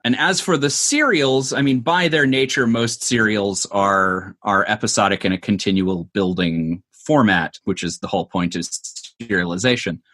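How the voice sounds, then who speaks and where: A man speaking as an interview guest, explaining creative work in articulate, measured phrases with considered pauses. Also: fairly low pitch, a bit bright. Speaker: interview guest, comedy show writer.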